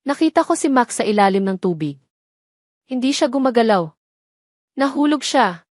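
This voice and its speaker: A woman's voice: a little high, animated, moderate pace, a little mellow, no room sound, studio quality, pitched above the performer's own voice. A woman voicing a scheming younger woman who pleads urgently in feigned tearful panic for an audio drama. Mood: tearful relief. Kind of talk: Tagalog audio drama acting.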